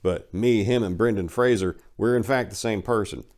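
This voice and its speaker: A man's voice: on the low side, moderate pace, a little mellow. A man telling a personal story in a blunt, folksy, slangy way.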